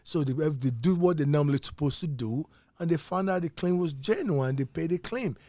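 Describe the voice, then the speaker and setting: A man working as an insurance agent, telling a personal story as a guest in an animated, emphatic way and repeating his phrases. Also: fairly high pitch, fairly quick, no room sound.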